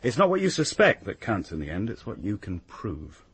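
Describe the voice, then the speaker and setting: A man with a British accent playing a pragmatic, world-weary police detective in a radio drama, dry, offhand and husky. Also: fairly low pitch, huge pitch swings, moderate pace, slight background noise.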